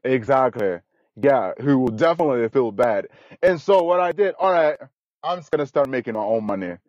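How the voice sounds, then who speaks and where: Earnest halting man from Guatemala. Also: mid-range voice, expressive, a little mellow, no room sound. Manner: earnest, repetitive, halting phrasing. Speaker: guest. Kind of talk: casual interview storytelling. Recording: dry, dull lo-fi sound.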